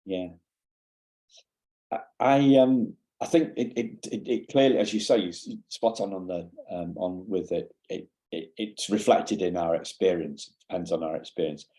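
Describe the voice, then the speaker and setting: Male interviewer, dark mellow tone, lowish pitch, unhurried pace, halting delivery, repeats words, conversational. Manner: halting, hesitant, repeats words while forming questions. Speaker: podcast host.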